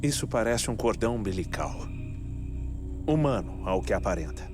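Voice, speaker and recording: An actor playing an obsessive, melancholic middle-aged scientist in a Brazilian video game dub, musing theatrically and deliberately. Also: male voice, lowish voice, expressive, close to an everyday voice. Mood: intrigued. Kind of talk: dubbed video game cutscene acting. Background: music.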